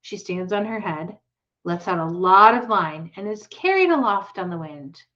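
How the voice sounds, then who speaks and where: A woman's voice: medium pitch, animated, normal speed, pushed and bright. An earnest young farm girl who loves animals, from a storybook, voiced by a woman reading aloud. She speaks eagerly and plainly. The recording is slightly dull.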